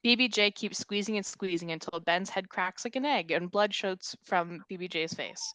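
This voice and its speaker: A woman's voice: mid-range voice, moderate pace, fairly bright tone, rough recording. A woman reading scripted stage directions aloud at a table read.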